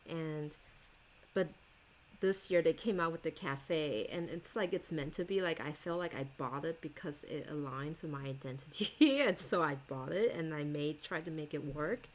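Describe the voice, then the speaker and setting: A woman's voice: sits low, normal speed, slight background noise, rough recording. A woman whose first language is Chinese, chatting casually and rambling as she shows her planners, laughing often. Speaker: stationery vlogger. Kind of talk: casual chat showing planners.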